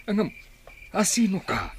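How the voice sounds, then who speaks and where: A man's voice: sits high, wildly swooping pitch, a little slow, heavily acted. A Filipino man playing an anxious prisoner plotting escape in a radio drama, muttering to himself in agitation. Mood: anxious, startled.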